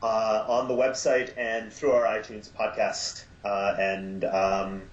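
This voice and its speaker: A man chatting as a podcast guest. He talks haltingly, with many fillers, and livens up when he retells a story. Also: lowish voice, flat delivery. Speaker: guest, audio drama producer. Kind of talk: casual podcast chat. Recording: roomy, dull sound.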